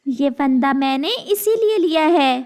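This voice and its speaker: A woman voicing a caring, gentle mother sparrow in a Hindi children's cartoon, with simple, clearly addressed lines. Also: high voice, lively intonation, a little slow. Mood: earnest, kindly.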